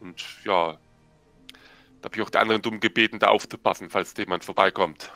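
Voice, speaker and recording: A man's voice: lowish voice, fairly even intonation, fairly quick, pushed and bright, dry recording, soft background music. A man in a tabletop role-playing game, adding to a casual recap of the session.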